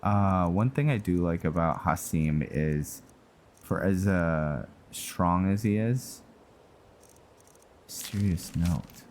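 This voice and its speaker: A man's voice: low-pitched, slow pace, darkish tone, slight background noise. A man giving casual, musing commentary over his roleplay playthrough, rambling and talking directly to his viewers. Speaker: gaming channel host. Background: game music.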